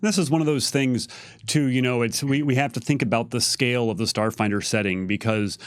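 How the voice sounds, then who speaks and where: A man's voice: mid-range voice, fairly even intonation, fairly quick, no room sound, studio quality. A man giving careful, casual explanations as a game developer on a livestream Q&A. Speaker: game setting developer on a Q&A panel.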